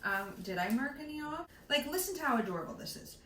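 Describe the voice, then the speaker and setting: Bright-voiced young woman, roomy sound. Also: high voice, big pitch swings, fast. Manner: enthusiastic, giggly, opinionated. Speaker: book podcast co-host. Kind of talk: casual book chat. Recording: roomy echo with faint background noise.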